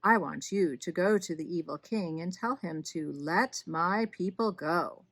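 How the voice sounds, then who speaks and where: Woman as solemn, measured storybook God. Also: on the low side, dry recording. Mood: solemn, commanding. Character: God, commanding, kindly voice from burning bush.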